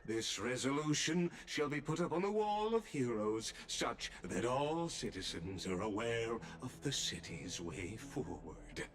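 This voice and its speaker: A man's voice: medium pitch, big pitch swings, a little slow, hardly any pauses. A man playing a courteous, solemn statesman in a video game, speaking in a formal, ceremonious manner. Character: solemn, courteous male statesman presiding over an assembly. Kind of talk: dramatic video game voice acting.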